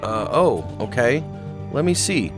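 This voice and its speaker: Woman as a low, lilting teenage boy. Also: sing-song, a little slow, music in the background, exaggerated voice. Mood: concerned, hesitant. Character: easygoing, friendly high school boy. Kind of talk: animated story dialogue.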